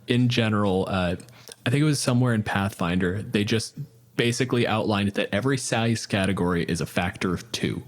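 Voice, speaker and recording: A man's voice: lowish voice, a little fast. A man co-hosting a podcast, explaining tabletop game rules in a blunt, profane, casual way. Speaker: podcast co-host.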